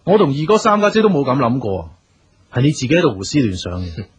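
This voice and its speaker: An actor playing an impatient, hot-headed youngest brother, blunt and fed up in a radio drama. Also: male voice, medium pitch, sing-song, fast talker, breathy edge. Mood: exasperated. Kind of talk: Cantonese radio drama acting. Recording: dull radio drama recording, music behind.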